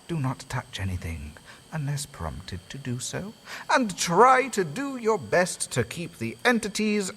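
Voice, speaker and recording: A man's voice: mid-range voice, vocal fry, a bit bright, slight background noise, exaggerated voice. A man doing a grandly sinister asylum master. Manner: grandly formal, mocking, with gleeful laughs. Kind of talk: fan audio drama acting.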